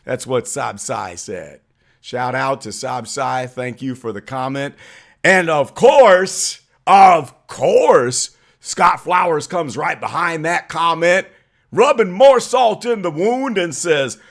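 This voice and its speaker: A hoarse man hosting a sports vlog, arguing his point with emphatic, call-out energy. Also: on the high side, animated, slow pace. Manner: emphatic, preachy, calls people out. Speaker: channel host. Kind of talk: fired-up sports opinion vlog.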